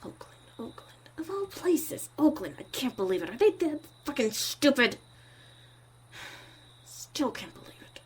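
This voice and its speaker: A woman's voice: high-pitched, big pitch swings, a little slow, a little hiss or hum. A woman acting a tough but caring witch mother in an ASMR roleplay, muttering and often dropping to a whisper. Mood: exasperated, muttering.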